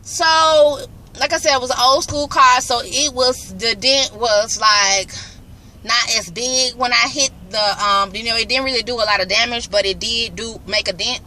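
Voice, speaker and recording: A woman's voice: fairly high pitch, moderate pace, pushed and bright, slight background noise, poor audio. A young adult woman, chatting casually and rambling to camera in her car on the way home. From Birmingham, Alabama, US. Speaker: vlogger.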